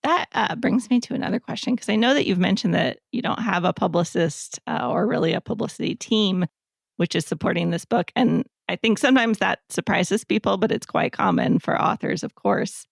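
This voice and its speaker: A woman's voice: medium pitch, brisk, gravelly, dry recording, studio quality. A woman hosting an interview podcast, asking questions in a conversational way.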